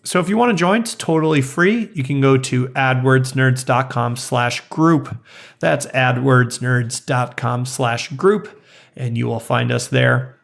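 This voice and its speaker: Upbeat hoarse male podcast host. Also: medium pitch, measured pace. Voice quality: raspy. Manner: upbeat, chatty, many fillers. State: hoarse, recovering from illness. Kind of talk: casual interview and outro.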